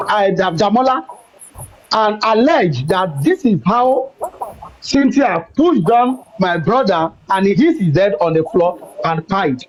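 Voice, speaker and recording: Man hosting, high lively voice. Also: low-quality recording. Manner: repeats words, cuts in with rapid "wait" and "hold on". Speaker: live stream host. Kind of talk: heated live call-in debate.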